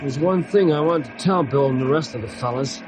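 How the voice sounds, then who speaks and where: A man's voice: on the high side, fairly quick, close to an everyday voice. A man playing an earnest, contrite boy climber in an old-time radio adventure. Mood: relieved, contrite. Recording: noisy old radio drama recording.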